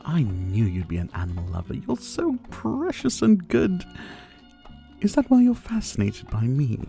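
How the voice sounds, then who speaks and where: Man acting a silky, teasing dictator, swooping pitch. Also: vocal fry, a little mellow, heavily acted. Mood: playful, teasing. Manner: silky, condescending, flirtatious, doting with pet names. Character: possessive, teasing male yandere dictator, rich and menacing. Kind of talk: ASMR roleplay, intimate dramatic acting addressed to the listener.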